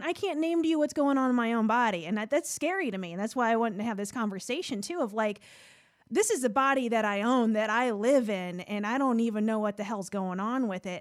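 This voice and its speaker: Woman, 30s, podcast host, a bit high-pitched, candid, chatty. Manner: candid, chatty, quick to laugh. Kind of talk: casual podcast conversation.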